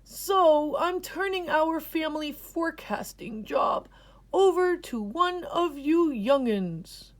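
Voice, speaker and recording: A woman voicing an elderly grandfather groundhog in a children's storybook, a proud, folksy announcer proclaiming slowly and loudly. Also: high voice, expressive, voice pushed up. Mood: grand, announcing. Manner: slow, loud, proclaiming. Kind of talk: children's storybook read-aloud.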